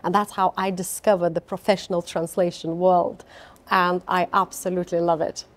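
A middle-aged woman speaks, answering interview questions in an eager, heartfelt way. She often doubles her words for emphasis. She is recorded on a lapel mic in a bright office lounge. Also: lowish voice, level tone, normal speed. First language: Lithuanian. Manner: enthusiastic, repeats words for emphasis. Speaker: company founder, interviewee. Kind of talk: conversational interview. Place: bright open office lounge with large windows.

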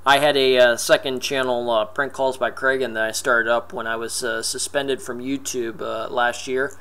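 A man's voice: mid-range voice, flat delivery, normal speed, fairly bright tone, smooth. A man speaking casually as a video host, introducing a clip.

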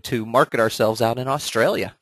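A man's voice: medium pitch, a little fast, a bit bright, dry recording, clean studio sound. A man with a stuffed-up nose from a cold, presenting a training webinar in an earnest, conversational way, speaking directly to his listeners.

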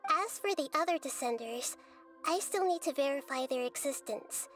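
Casting: childlike game goddess, high gentle voice, wise, serene and measured, light music underneath. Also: female voice, expressive. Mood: explanatory, thoughtful. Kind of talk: video game voice acting.

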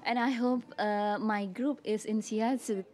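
A woman speaking slowly and carefully in an outdoor interview, laughing easily. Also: mid-range voice, steady tone, a little mellow. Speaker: camp participant.

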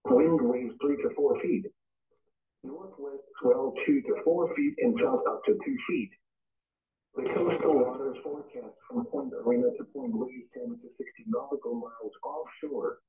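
A synthetic male announcer reads a weather forecast in an impersonal, clipped way. His voice sits a little high and has a gravelly creak. It comes through a tinny, narrow-band radio playing at a distance in a room. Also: sing-song, moderate pace. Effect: synthesized voice played over a weather radio. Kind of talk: scripted automated weather forecast reading.

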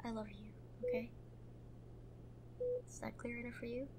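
A woman playing a protective, prickly young girlfriend in a roleplay audio drama, tender but bossy and halting when vulnerable. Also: a little high, little pitch movement, background noise, close to an everyday voice. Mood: vulnerable, earnest. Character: protective, prickly young woman, frightened for her injured lover.

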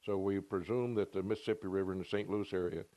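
Older man, very low voice, dry close sound, plain technical interview answers. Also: fairly even intonation, fast talker, warm tone, dry recording. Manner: plain, matter-of-fact, technical. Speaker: ham radio operator interviewee. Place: home radio room, then parked truck. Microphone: reporter's handheld mic.

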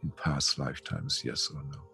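Older male healer, deep gravelly voice, rambling fillers, headset call. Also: steady tone, normal speed, soft background music. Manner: chatty, warm-toned, many "you know" fillers. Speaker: spiritual healer. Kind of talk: guided spiritual session. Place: home room. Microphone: headset on video call.

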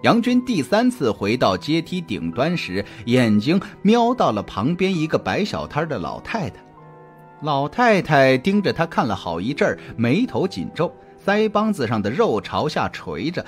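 Expressive suspense audiobook narrator with music. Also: medium pitch, normal speed. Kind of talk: scripted suspense story narration. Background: background music.

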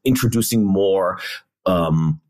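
A low-pitched man talks casually on a podcast. His voice is gravelly and raspy from too little sleep. He is wry and digressive, thinking out loud as he goes. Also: takes it slowly. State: underslept. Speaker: podcast co-host. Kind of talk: casual reflective podcast chat.

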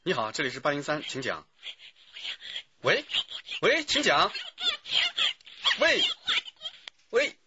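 一名男演员在广播剧里饰演一位警觉尽责、语气急切而恭敬的接警员。. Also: male voice, on the high side, huge pitch swings, slow pace, long pauses, pushed and bright, exaggerated voice. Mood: insistent. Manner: urgent, deferential to superior. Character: alert, dutiful male police phone operator. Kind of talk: radio drama acting. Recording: dull audio with faint noise.

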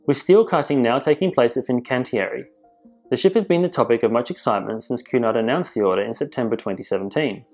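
A man presenting cruise industry news in measured, scripted voice-over narration. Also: mid-range voice, expressive, a little mellow, soft background music. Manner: measured, informative delivery. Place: voice-over, not in pictured places.

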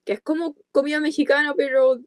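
A woman's voice: high voice, level tone, a little fast, no room sound. Young American woman, dry close sound. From United States, California. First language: English. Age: 20s. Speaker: podcast guest, content creator. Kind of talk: casual chat in an interview. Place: small dark room with coloured lights, video call.

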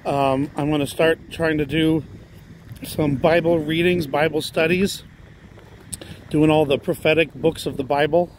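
Hoarse man vlogging on a street walk. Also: mid-range voice, slight background noise. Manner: rambling, plain-spoken, coughing and sighing. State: out of breath. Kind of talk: casual walking vlog. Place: residential street outdoors. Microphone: handheld phone while walking.

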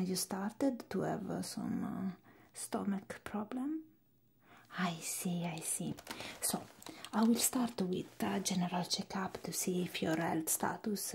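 A woman playing a gentle, attentive hospital nurse in an ASMR roleplay, speaking in a soft, breathy, reassuring whisper. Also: mid-range voice, slight background noise. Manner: slow, hushed, reassuring, with pauses.

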